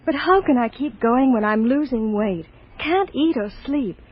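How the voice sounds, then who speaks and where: An actress playing an earnest housewife in a scripted 1940s radio advertisement. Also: female voice, sits high, expressive, normal speed, husky. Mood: weary, fretful. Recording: dull old radio recording.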